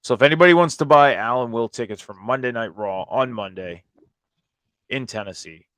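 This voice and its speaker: Hoarse male host, mid-pitched, dry close sound, informal recap commentary, casual wording. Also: fairly quick, a bit bright, dry recording. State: hoarse after a night of screaming at a concert. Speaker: host of a wrestling review show.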